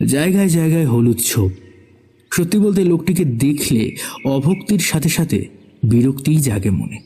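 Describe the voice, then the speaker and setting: Male narrator for horror audio story, dark husky voice, dramatic scripted read over music. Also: on the high side, animated, moderate pace. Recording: background music under the voice.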